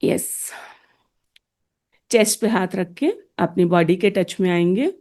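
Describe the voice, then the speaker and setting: A woman leading a soothing guided meditation in short, gently spaced phrases. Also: on the low side, slow pace.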